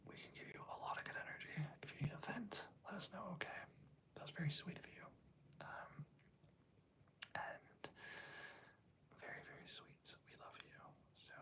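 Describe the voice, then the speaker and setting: A livestream host speaks in a hushed ASMR whisper at a low volume. The delivery is rambling and affectionate, like an informal chat with viewers, drifting from one thought to the next. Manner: soft, rambling, affectionate, breaking off to read chat.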